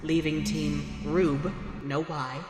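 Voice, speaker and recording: A woman's voice: deep voice, flat delivery, takes it slowly. A woman giving dramatic scripted narration with wry asides as the narrator of an audio drama. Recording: echoey long reverb, music underneath.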